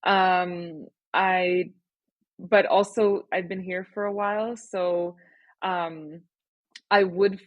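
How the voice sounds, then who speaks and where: A woman in her thirties from Canada, talking things over as a podcast guest. Her voice sits low for a woman, and she is recorded close on a dry mic with no room sound. She answers in a thoughtful, reflective way and laughs easily. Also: flat delivery, takes it slowly, no room sound, dull audio. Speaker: guest, startup founder. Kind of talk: casual interview conversation.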